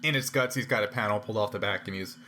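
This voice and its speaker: A man's voice: lowish voice, rapid-fire, bright, forceful tone, a little hiss or hum. A man improvising narration as game master of a tabletop role-playing game.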